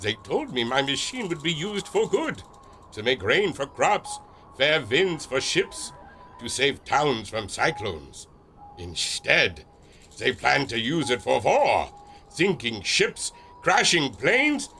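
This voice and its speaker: A jovial giant of a German inventor-captain, holds forth in a pulp radio adventure. His thick accent comes in a bright, forceful voice with very lively pitch. There is faint noise behind him. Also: takes it slowly, heavily acted. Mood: grave, indignant. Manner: hearty booming laugh, theatrical delivery. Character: jovial grand German-born airship captain and inventor, huge man.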